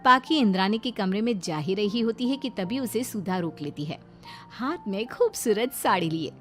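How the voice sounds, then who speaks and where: A woman's voice: mid-range voice, lively intonation, normal speed, a bit bright, faint music behind. A woman narrating a scripted cartoon story.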